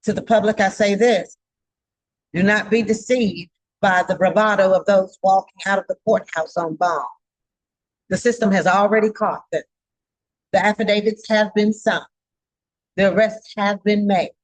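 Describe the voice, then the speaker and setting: A woman delivering a formal scripted public address in a stern, solemn, emphatic manner, with halting phrasing. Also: medium pitch, fairly even intonation, no echo, rough recording. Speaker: judge giving a public address.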